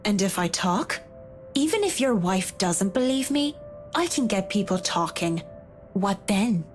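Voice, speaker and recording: A woman's voice: mid-range voice, animated, measured pace, faint music behind, close to an everyday voice. A woman voicing a firm, defiant young interior designer in a visual novel game.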